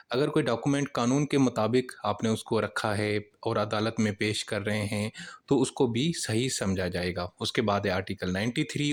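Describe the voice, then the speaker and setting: Pakistani man giving an explanatory lecture. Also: mid-range voice. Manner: explains by rephrasing each point plainly; conversational tag phrases. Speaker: law lecturer.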